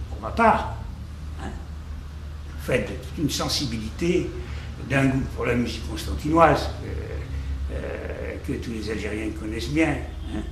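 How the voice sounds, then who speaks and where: An older man from Algeria, interviewed in a conversational way, reflective, repeating words as he searches for them. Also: medium pitch. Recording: echoey room, faint background noise.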